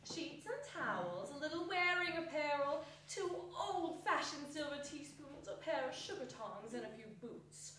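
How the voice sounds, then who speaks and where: A woman's voice: high voice, expressive, measured pace, few pauses, a bit bright. A woman narrating a story as a theatrical dramatic reading. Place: indoor arts center hall, live performance. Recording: distant echoey hall, faint noise.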